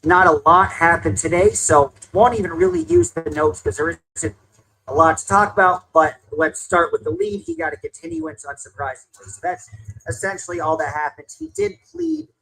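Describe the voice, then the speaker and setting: A reporter gives a plain spoken update on a court case, walking through each step. The voice is slightly husky. The pitch moves up and down freely while explaining. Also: big pitch swings, normal speed, a bit bright, poor audio. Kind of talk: news update.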